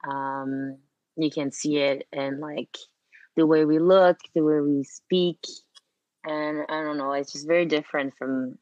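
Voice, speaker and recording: A woman in her late twenties from Martinique, French her first language, speaking in a relaxed interview with frequent fillers. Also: sits low, dry recording, dull audio. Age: late 20s. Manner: relaxed, hesitant with fillers, laughs often. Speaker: guest, fashion model. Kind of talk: interview conversation.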